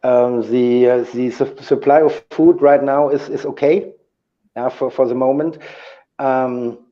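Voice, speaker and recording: Halting male guest on a dull call line. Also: medium pitch, steady tone, dark tone. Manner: halting, repeats words and restarts often. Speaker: guest, aid organizer. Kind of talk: interview answers.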